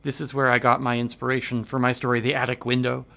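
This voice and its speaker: A man's voice: mid-range voice, completely flat delivery, fast, creaky, bright, forceful tone. A man playing a haunted, intense writer of horror stories in a radio drama, speaking in an earnest, florid, articulate way. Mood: fascinated. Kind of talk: theatrical radio drama acting.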